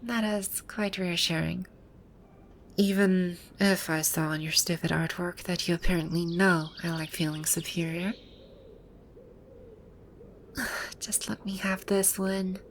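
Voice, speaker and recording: A woman playing a proud, teasing video game villainess come to life, speaking softly in an intimate ASMR roleplay. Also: fairly low pitch, steady tone, a little slow, pauses often, a little hiss or hum. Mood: smug, guarded. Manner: smug, teasing, soft-spoken with breathy asides. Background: birdsong and outdoor ambience sound effects.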